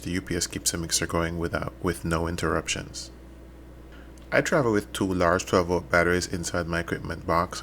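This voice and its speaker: A man with a low-pitched voice narrates a technical tutorial. He explains things methodically, step by step, in the manner of someone reading prepared instructions. Noticeable background noise runs under the recording. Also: a bit bright.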